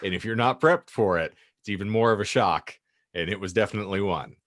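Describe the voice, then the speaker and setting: A man with a slightly low voice hosts a podcast. He speaks in casual banter with a wry, joking manner. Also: fairly quick, fairly bright tone. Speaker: podcast host.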